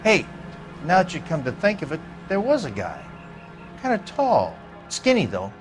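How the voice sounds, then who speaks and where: An actor playing a grudging, folksy American neighbor being questioned as a witness in a video game drama. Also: male voice, fairly high pitch, wildly swooping pitch, normal speed, over music. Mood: recollecting. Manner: folksy, offhand, defensive. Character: evasive, grudging male suburban neighbor and witness.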